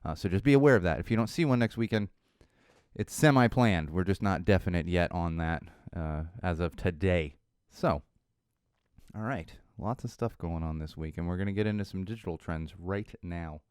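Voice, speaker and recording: A man in his thirties from Southern California, hosting a casual solo podcast, a bit hoarse, rambling and self-deprecating, with lots of fillers and restarts. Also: deep voice, normal speed, darkish tone, no echo, studio quality. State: slightly hoarse. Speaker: podcast host.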